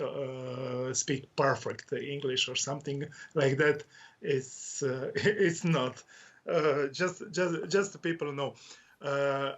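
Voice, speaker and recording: A man with a Croatian accent, a guest author on a podcast, answering in halting English and searching for words over a video call. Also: mid-range voice, highs cut off. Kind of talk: interview answers.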